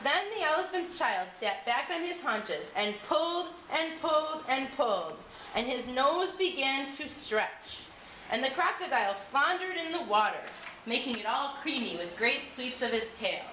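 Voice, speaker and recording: A woman narrating a scripted storybook tale in an expressive, deliberate way, recorded in an echoey room with faint noise. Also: sits high.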